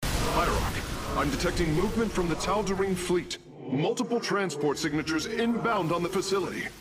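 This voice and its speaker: An actor voicing a measured, analytical male alien science officer in a video game, formal and precise. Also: high-pitched, lightly acted. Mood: urgent, precise. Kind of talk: video game character acting.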